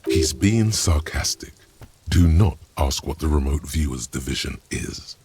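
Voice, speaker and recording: A man voicing a brooding, wry inner voice that narrates a detective's thoughts in a game, gravelly and measured. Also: very deep voice, animated, a little mellow, slight background noise, full character voice.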